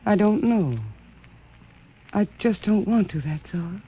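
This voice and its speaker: A woman's voice: mid-range voice, animated, slow, breathy edge, heavily acted. A woman playing a wistful, hesitant, dreamy Martian wife in a science fiction radio drama. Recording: muffled old radio recording, noticeable hiss.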